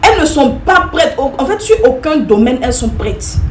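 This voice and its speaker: Lady in her 30s talking straight to viewers, keeps repeating points, kinda high voice, echoey room with a bit of hiss. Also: sing-song, moderate pace. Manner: emphatic, repetitive, addresses viewers directly. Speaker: vlogger giving advice. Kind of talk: direct-to-camera opinion talk. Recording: echoey room with faint noise.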